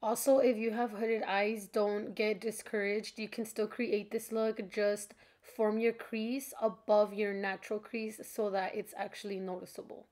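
A woman's voice: mid-range voice, level tone, measured pace, few pauses, a little mellow. A young woman giving a casual, chatty makeup tutorial voice-over in an informal, friendly-to-viewers way, sounding slightly stuffed up from allergies. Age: young adult.

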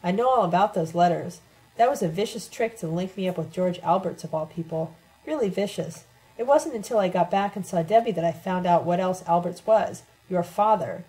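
A woman's voice: lowish voice, fairly quick, dark tone, lightly acted, low-quality recording. A woman voicing an embittered, scarred man in an audiobook, accusing and earnestly speechifying. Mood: bitter reproach.

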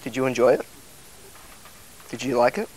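A man's voice: mid-range voice, expressive, normal speed, a little mellow, slight background noise, low-quality recording. A young man chatting casually in a prank vlog. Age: young adult. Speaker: prank vlogger. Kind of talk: casual chat.

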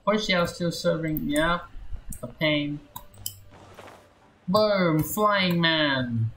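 A woman's voice: low-pitched, drawn-out words, fairly bright tone, a little hiss or hum. A woman game streamer giving casual playthrough commentary.

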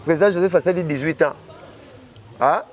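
Fast, high-voiced Congolese man. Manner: gestures constantly, rapid and emphatic. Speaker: interview guest. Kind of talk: animated chat mixing French and Lingala. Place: outdoors beside a parked car. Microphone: lapel mic.

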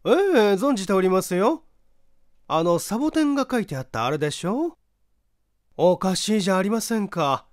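A man's voice: sits high, expressive, moderate pace, no echo, studio quality, voice pushed up. A man voicing a gossipy, polite and earnest neighbor housewife in a mystery audiobook. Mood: eager gossip. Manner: polite feminine speech, chatty, earnest. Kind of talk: audiobook character voice in a mystery story.

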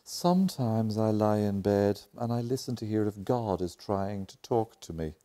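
A man's voice: lowish voice, animated, normal speed, dark tone. An Irish man in his forties performing a dramatic book reading. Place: indoor lounge, seated.

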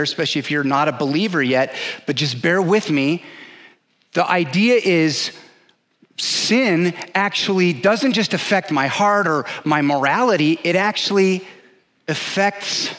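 Pastor, man in his forties, emphatic sermon delivery on a headset mic, voice on the high side. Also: fairly bright tone. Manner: earnest, emphatic preaching with pauses; paces the stage, gesturing. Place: large dark church stage.

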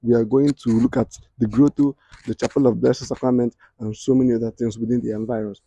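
A man's voice: mid-range voice, flat delivery, a little fast, mellow, lo-fi sound, slight background noise. A young Nigerian man with a Nigerian accent hosting an upbeat walking tour, speaking straight to viewers outdoors. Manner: upbeat, addresses viewers directly. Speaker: real estate video host. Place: outdoors in a church compound.

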